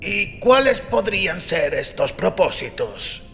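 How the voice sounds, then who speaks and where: Courteous old priest, pitched high. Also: male voice, big pitch swings, slow, vocal fry, fairly bright tone, big-room sound, faint music behind, heavily acted. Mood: politely curious. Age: older. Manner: courteous, formal archaic speech. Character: elderly arthritic priest, gentle, good-humored, last of his church. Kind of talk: audiobook character acting.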